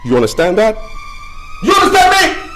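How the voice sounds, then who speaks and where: An actor playing a desperate, possessive man who swings between pleading and shouting, with film score behind. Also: on the high side, wildly swooping pitch, normal speed, loud music behind the voice. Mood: furious. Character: desperate possessive man clinging to his love.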